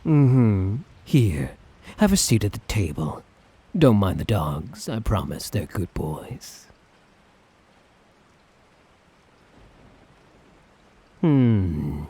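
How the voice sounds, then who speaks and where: A man playing a suave, sadistic tyrant in an ASMR roleplay, teasing and condescendingly affectionate, with a breathy, husky voice. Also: deep voice, sing-song, slow pace, warm tone, exaggerated voice. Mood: playful, doting. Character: suave, sadistic, doting male tyrant, obsessively in love.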